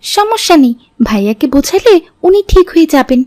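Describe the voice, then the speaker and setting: A woman's voice: extremely high pitch, very animated, fairly quick, darkish tone, no echo, clean studio sound. A woman playing a polite, pious young daughter in an Islamic cartoon, respectful and earnest.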